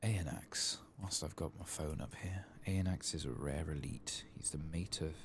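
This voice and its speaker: A man giving soft-spoken ASMR game commentary, murmuring and at times drifting toward a whisper. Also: extremely low pitch, moderate pace, gravelly, slight background noise. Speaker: gaming video host.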